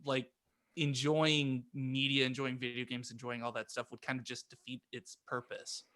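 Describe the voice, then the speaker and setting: A man on a podcast panel in casual discussion, halting and informal as he searches for words. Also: mid-range voice, flat delivery, pushed and bright.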